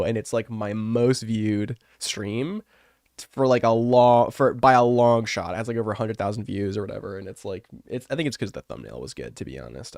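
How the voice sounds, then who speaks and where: A man's voice: on the low side, no echo, clean studio sound. Congested man with a cold. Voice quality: rough, wrecked voice. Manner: rambling and chatty, with many fillers. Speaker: artist and streamer. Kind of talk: casual live-stream chat.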